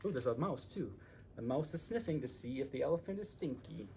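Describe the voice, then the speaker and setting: A man presents picture books for children in an expressive way, adding casual asides. His pitch is very lively and varied, and there is faint noise behind him. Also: a little fast. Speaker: library story time presenter. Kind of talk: animated picture-book reading with asides.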